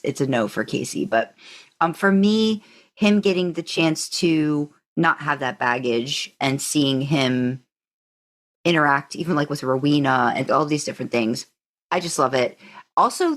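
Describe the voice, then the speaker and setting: A woman with a low-pitched voice talks as a podcast co-host. Her style is casual fan chat, and she lays out her thoughts in a measured, agreeable manner.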